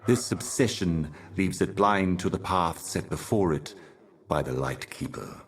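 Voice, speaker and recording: Really low cosmic envoy for a video game, grave n cryptic, talks like an oracle. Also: male voice, expressive. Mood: dark, portentous. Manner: grave, slow-cadenced, oracular, formal. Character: solemn, cryptic otherworldly male envoy of a cosmic mistress. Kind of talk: video game character voice lines.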